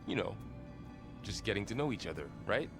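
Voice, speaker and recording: Casting: young male game companion, very low voice, gentle, casual, encouraging, music behind. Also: sing-song, fairly quick, gravelly. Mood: coaxing. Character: young adult man, gentle, supportive, friendly companion. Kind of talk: video game cutscene dialogue.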